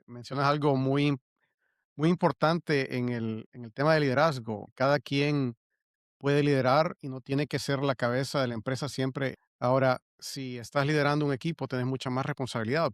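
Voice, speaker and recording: A man who hosts a conversation and asks measured, thoughtful questions. His voice sits in the middle range and sounds dry and close. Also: mid-range voice, no room sound. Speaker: podcast host. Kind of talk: interviewing a guest.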